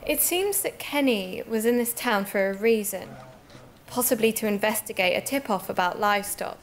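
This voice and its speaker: A woman's voice: mid-range voice, moderate pace, a little hiss or hum, natural voice. A woman playing an earnest, curious amateur investigator in audio drama dialogue, tentative and polite. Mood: earnest, inquiring.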